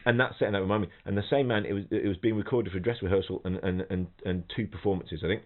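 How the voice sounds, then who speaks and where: A middle-aged musician talks in a low voice, chatty and full of restarts. He sings rhythms and imitates the people in his stories. The sound is narrow and tinny, like a phone call. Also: fairly even intonation, quick pace. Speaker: guest, professional trombonist. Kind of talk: casual podcast chat, anecdotes. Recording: thin phone-like audio.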